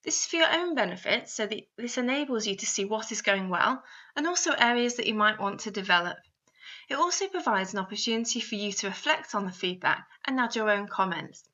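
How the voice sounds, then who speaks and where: A woman's voice: mid-range voice, lively intonation, fairly quick, sharp tone. A woman narrating a scripted instructional guide, speaking straight to the listener and often posing guiding questions. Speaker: instructor narrating a guidance video. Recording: slightly dull, lo-fi voice-over.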